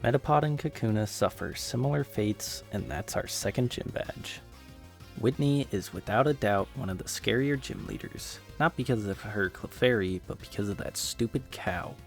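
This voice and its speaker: A man's voice: on the low side, normal speed, gravelly, soft background music. A man narrating scripted gameplay commentary in a casual, wry, self-deprecating way. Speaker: gaming video creator and narrator.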